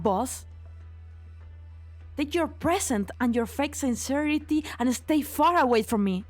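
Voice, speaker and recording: A woman's voice: a little high, no echo. A woman voicing a bitter, defiant young assistant in an amateur fan dub, cold and contemptuous toward her boss.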